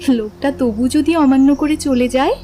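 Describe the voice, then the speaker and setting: Composed, curious young woman, played by an actress, high-pitched, politely teasing, romantic audio drama over soft music. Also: moderate pace, natural voice. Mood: gently teasing. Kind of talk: dramatised audiobook dialogue acting.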